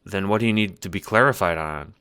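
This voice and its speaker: A man voicing a calm, weary troll girl who died, speaking in a flat, deadpan way in a fan audio drama. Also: low voice, a little fast, smooth, no echo, clean studio sound.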